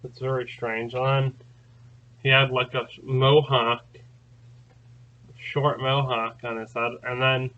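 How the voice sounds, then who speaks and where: A man's voice: medium pitch, little pitch movement, takes it slowly, long pauses, lo-fi sound. A man hosting his own channel, telling a long story in a rambling, casual way, full of fillers and restarts. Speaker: channel host. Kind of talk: casual spoken recounting.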